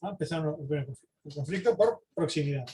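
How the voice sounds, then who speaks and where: A man giving an informal slide lecture, halting, repeating words and often adding short questioning tags. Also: on the high side, warm tone, slight background noise. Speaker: presenter giving a history talk.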